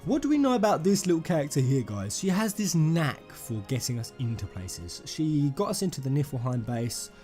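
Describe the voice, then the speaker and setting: A male gaming YouTuber with a fairly high voice delivers excited fan theory commentary. He rambles emphatically and talks straight to his audience, with music playing behind him. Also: normal speed, hardly any pauses. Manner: rambling, emphatic, addresses viewers as guys and peasants. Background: music under the voice.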